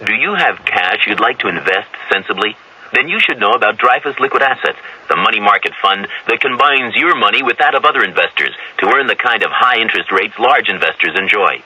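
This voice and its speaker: A man's voice: on the low side, animated, a little fast, sharp tone, breathy edge, poor audio. A man working as a commercial announcer, reading a scripted seventies radio advertisement.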